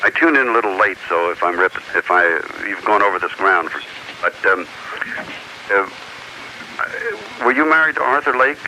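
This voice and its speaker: An American man calling a radio show with casual fan questions, speaking haltingly with frequent restarts. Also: fairly low pitch, expressive, sharp tone. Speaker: radio phone-in caller. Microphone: telephone. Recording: phone call on hissy radio cassette.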